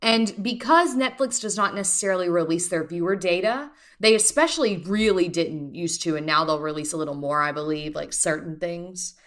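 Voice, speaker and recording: A woman's voice: lowish voice, normal speed, hardly any pauses, fairly bright tone. A woman in her mid twenties co-hosting a podcast, talking in an enthusiastic, rambling casual way. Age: 20s. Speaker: podcast co-host. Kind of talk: casual chat.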